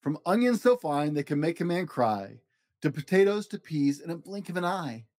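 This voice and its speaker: A picture book reader narrating a rhyming children's story in an animated, expressive style. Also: medium pitch, moderate pace, dry recording.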